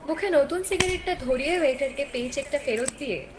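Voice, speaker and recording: A woman's voice: high voice, rapid-fire. A woman with an Indian Bengali accent, narrating a scripted radio play. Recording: clear ambient noise behind.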